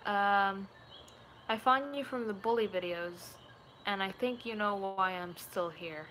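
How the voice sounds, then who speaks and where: A woman's voice: medium pitch, a little slow, a bit bright. A twenty-year-old woman from Puerto Rico chatting casually and playfully in a rambling way, like a vlogger talking to viewers. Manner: playful, rambling, slips into put-on accents and voices. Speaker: video creator chatting with viewers. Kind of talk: casual live chat. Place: small room at home, indoors. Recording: noisy home webcam audio.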